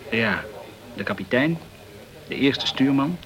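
Newspaperman, courteous and talkative, mid-pitched voice, radio drama. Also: lively intonation, slow, a bit bright, lo-fi sound. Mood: grave, informative. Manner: courteous and chatty, peppered with French words. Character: polite, talkative French journalist in the tropical West Indies. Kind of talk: Dutch radio play acting.

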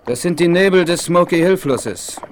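An actor playing a grave, dignified Apache chief in a German radio play, speaking formally and solemnly. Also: male voice, a little high, normal speed, lightly acted. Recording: hissy, crackly 1970s vinyl record.

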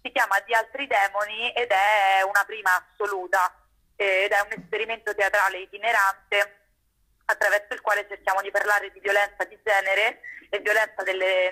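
A woman's voice: mid-range voice, level tone, normal speed, pushed and bright, rough recording. A young woman in a radio interview, explaining things in an articulate, conversational way and often checking in with short tag questions. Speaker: guest, actress and playwright.

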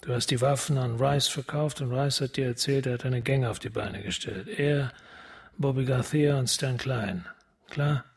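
An actor playing a weary, driven police detective in a radio drama, gravelly, terse and commanding. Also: male voice, mid-range voice, moderate pace. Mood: hard, insistent. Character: hard-bitten male police homicide detective, weary and driven. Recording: loud guitar music behind.

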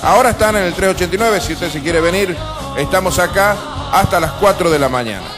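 A man's voice: high-pitched, animated, a little fast. Argentine guy co-hosting live radio from a bar, rambly casual talk, music around. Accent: Argentine Rioplatense. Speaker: radio co-host.

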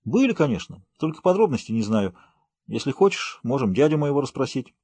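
A man's voice: medium pitch, sing-song, a little fast, a little mellow, breathy edge, no echo, voice pushed up. A man voicing a cheerful, confident, wordy man who is a friendly guide, in an audiobook. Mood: matter-of-fact. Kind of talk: audiobook character voice.

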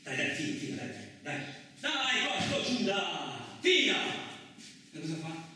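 A man acting in a radio play as a gruff, crude truck driver who tells his story in blustering, rambling, colloquial speech. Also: on the high side, big pitch swings, a little slow, bright, forceful tone, exaggerated voice. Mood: irritated, threatening. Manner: blustering, rambling, conversational, mildly swearing. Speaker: actor in a solo radio play. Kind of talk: colloquial theatrical radio monologue. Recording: roomy, echoing distant miking with faint noise.

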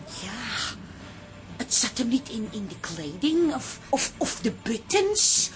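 An actress playing a warm, excitable middle-aged wife who searches for her words in a naturalistic radio drama. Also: fairly high pitch, takes it slowly, slight background noise. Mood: hesitant, wistful. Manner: hesitant, searching for words, exclaiming.

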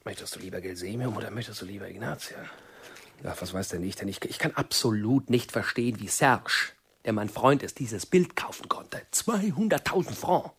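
A man's voice: on the low side, animated, normal speed, slight background noise. An actor playing an exasperated, opinionated man in a German radio play, speaking in an agitated, emphatic way. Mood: indignant, anxious. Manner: agitated, emphatic, repeating himself indignantly. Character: exasperated, opinionated man fretting over a friend.

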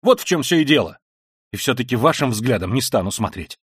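Male reader as a feverish, proud young man: hushed and weary, dry close sound. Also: fairly low pitch, moderate pace, dry recording, close to an everyday voice. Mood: furious. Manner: quiet and weary, then bursts into shouting rage. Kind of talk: audiobook reading of a classic novel.

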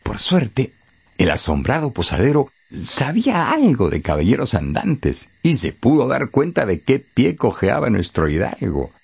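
A man narrating a radio story expressively and theatrically, with dramatic pauses and emphasis. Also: mid-range voice, huge pitch swings, vocal fry, darkish tone, breathy edge.

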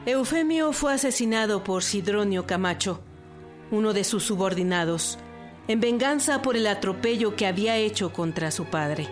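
A woman narrates a historical radio documentary from a script. Her voice sits at a medium pitch, with music faintly underneath. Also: lively intonation, moderate pace.

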